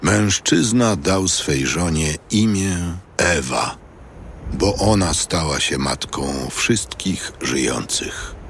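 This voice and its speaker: Male narrator, extremely low voice, unhurried solemn scripture reading. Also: expressive, vocal fry, a bit bright, slight background noise.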